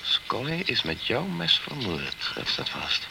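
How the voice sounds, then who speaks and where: A man's voice: medium pitch, wildly swooping pitch, slow pace, gravelly, full character voice. A man playing a dogged, probing insurance investigator in a crime radio drama, questioning insistently and coolly persuading. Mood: probing, insinuating. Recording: noisy radio drama recording.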